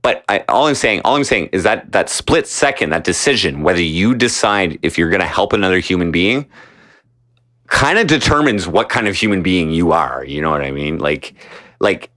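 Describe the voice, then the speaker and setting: A man's voice: low-pitched, expressive, normal speed, a bit bright. A man telling a long story in casual podcast chat, rambling, swearing a lot and restarting his sentences. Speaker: streamer guest.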